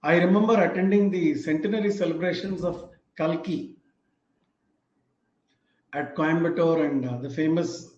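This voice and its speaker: A man in his fifties with an Indian English accent, telling stories about a book he loves in a reflective, unhurried way. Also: fairly high pitch, darkish tone. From Tamil Nadu, India. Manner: reflective, unhurried storytelling with fillers. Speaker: host. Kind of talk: casual book talk. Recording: echoey, dull lo-fi room sound.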